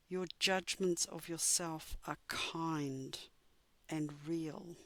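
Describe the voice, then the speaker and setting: A woman with a fairly low voice guides a meditation at a very slow pace. Her delivery is measured and soothing, with gentle pauses between short phrases. The sound is dry and close, with no room echo.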